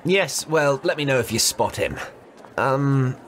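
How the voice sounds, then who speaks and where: An actor playing an impatient, authoritative soldier leader in a fantasy audio drama, with music behind him. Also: male voice, medium pitch, animated, unhurried, a bit bright, a little hiss or hum. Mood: brisk. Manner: curt, exasperated, authoritative. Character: commanding, impatient young-to-middle-aged male soldier leader. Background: background music.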